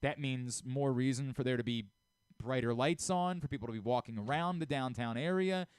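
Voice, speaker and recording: A man hosts a sports talk show in a dry studio. His voice is clear and mid-pitched. He comments emphatically, often repeating a point to drive it home. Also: moderate pace, darkish tone, no echo. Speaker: sports radio host. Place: radio studio.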